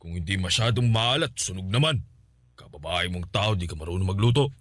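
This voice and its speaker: A man's voice: low voice, expressive, brisk, dry recording. A man playing a stern, fault-finding uncle in a radio drama, speaking with a Filipino accent. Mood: stern, scolding.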